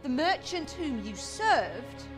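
An actress playing a composed, seductive and vengeful noblewoman in a fantasy game, speaking archaic lines in a deliberate, theatrical way. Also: high voice, very animated, music in the background, full character voice. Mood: cold accusation. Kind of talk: cinematic fantasy game acting, archaic speech.

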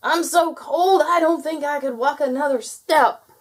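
A woman voicing a cold, weary bearded male gnome who shouts and exclaims in a children's story. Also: high voice, unhurried, voice pushed up. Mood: weary, shivering.

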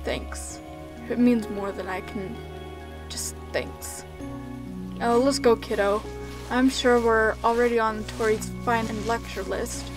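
Woman voicing a weary, halting, high-pitched skeleton guy. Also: fairly even intonation, music in the background. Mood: tender, relieved. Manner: halting, stammering, tender. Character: weary, emotional male skeleton, gentle and protective. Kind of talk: amateur comic dub acting.

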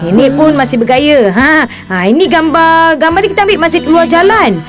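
Lively, high-voiced cartoon boy. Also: normal speed, music in the background. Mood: excited. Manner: bubbly, chatty. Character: cheerful, chatty young boy eager to show off. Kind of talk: cartoon voice acting.

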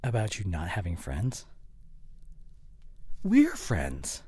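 A man playing an apologetic, guilt-ridden boyfriend in an intimate audio roleplay, halting and breathy, often near a whisper, close on the microphone. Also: low-pitched, wildly swooping pitch, a little mellow, heavily acted. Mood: pleading, upset. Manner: halting, stammering, earnest pleading. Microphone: close studio condenser microphone.

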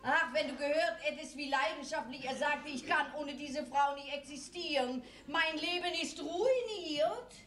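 A woman's voice: high-pitched, unhurried, few pauses. An actress playing a posh older woman from Paris, grand and affected, who drops French phrases into her talk. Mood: fond. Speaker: Tante Mary, elegant aunt from Paris. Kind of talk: 1970s televised stage comedy. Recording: roomy TV stage recording from a distance, faint hiss.

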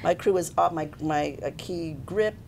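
An older woman film director telling stories in a casual interview, laughing often, with a deep voice. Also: normal speed, gravelly, a little hiss or hum. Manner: lively storyteller, laughs often. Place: basement studio set with couch.

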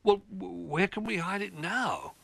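A man's voice: sits high, a little slow, vocal fry, breathy edge, no room sound, voice pushed up, heavily acted. A man voicing a small, young, earnest toy bear in a storybook, childlike and hesitant. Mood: worried.

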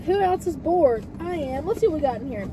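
Young Southern woman, playful car vlogger, high and animated, dashboard camera in a car with noise behind. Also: fairly quick, over loud music. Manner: chatty, playful, excitable exclamations. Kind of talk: casual car vlog chat. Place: parked car, indoors. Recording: noisy car with outside ambience.

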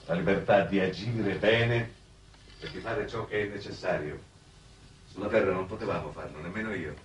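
An actor playing a weary, resigned exile from Earth in a 1960s television drama, speaking in a measured, grave way. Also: male voice, low voice, lightly acted. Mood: grave, impassioned. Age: middle-aged. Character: weary, resigned, fatalistic man exiled on another planet. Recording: noisy old 1960s TV broadcast soundtrack.

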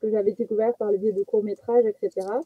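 A young woman from French Guiana chatting casually as a guest comedian, animated and self-mocking, telling personal anecdotes over a video call. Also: mid-range voice, fast talker, breathy edge, no echo. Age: young adult. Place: small attic bedroom. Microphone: headset on a video call. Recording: muffled phone-like video call sound.

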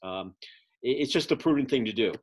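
A man's voice: medium pitch, lively intonation, brisk, breathy edge. A middle-aged man, a magazine publisher, giving a casual update over a video call from a home office, rambling with many fillers. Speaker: magazine publisher and event producer. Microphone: video call webcam.

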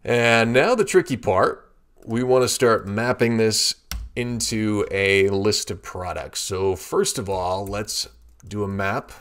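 Low-voiced man explaining code. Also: takes it slowly, clean tone. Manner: steady step-by-step explaining. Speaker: programming tutorial instructor. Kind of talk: screen-recorded coding walkthrough.

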